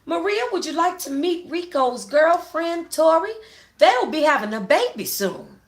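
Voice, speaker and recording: A woman voicing an excited, welcoming aunt at a family gathering, exclaiming and drawing out words in an audiobook-style story. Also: extremely high pitch, unhurried, pitched above the performer's own voice, heavily acted.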